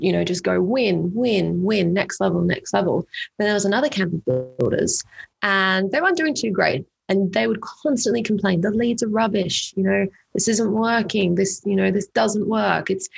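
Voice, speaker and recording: Young woman, from England, Australian accent, husky, gravelly fry, chatty interview guest. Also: fairly low pitch. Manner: chatty, filler-heavy, repeats words. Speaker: company co-founder, guest. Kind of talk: casual interview storytelling.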